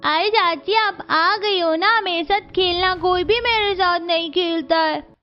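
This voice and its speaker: A woman's voice: very high-pitched, a little slow. A woman voicing a sweet, eager little boy in a cartoon. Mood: pleading. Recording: dull lo-fi track with music.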